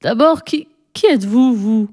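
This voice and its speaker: A cheeky young schoolboy acted by a woman reader from a storybook, impulsive and curious. The talk is blunt and childish, full of exclamation, in a soft-edged mellow tone. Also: mid-range voice, unhurried, lightly acted. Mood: startled. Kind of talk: storybook reading, character dialogue.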